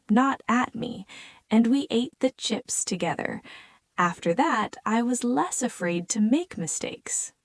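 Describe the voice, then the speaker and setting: German-native woman hosting an english learner podcast, super slow and clear, friendly, dry close sound. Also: a little high, darkish tone, dry recording. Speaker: podcast co-host. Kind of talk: scripted learner podcast chat.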